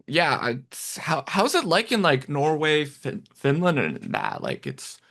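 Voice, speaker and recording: A man's voice: on the high side, wildly swooping pitch, a little slow, creaky, a bit bright. A man hosting a casual podcast interview over a remote call, often stammering and restarting his sentences. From Canada. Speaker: podcast host.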